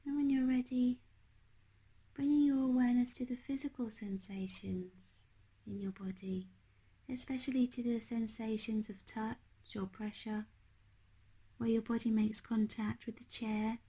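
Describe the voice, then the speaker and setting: Slow, hushed woman guiding a meditation. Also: a little high, breathy edge, rough recording. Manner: gentle, unhurried, hushed. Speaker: meditation teacher. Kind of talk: guided meditation narration.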